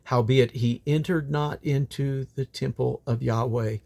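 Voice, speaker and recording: A man's voice: mid-range voice, fairly even intonation, a little slow, gravelly, darkish tone. A man reading Bible scripture aloud in old King James English, in a solemn, declamatory manner. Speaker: Bible reader.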